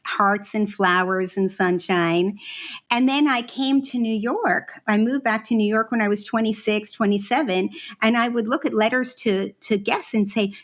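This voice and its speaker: A middle-aged woman from Israel talks through her background as a guest on a hospitality podcast. She tells stories freely and breaks into laughter often, at a pitch that is neither high nor low. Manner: warm, laughs often, storytelling. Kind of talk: casual podcast interview.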